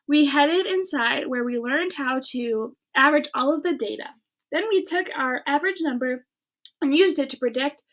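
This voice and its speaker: Lady with a high voice doing an expressive read-aloud for kids, talks casually to listeners. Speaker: teacher or host reading a children's book aloud.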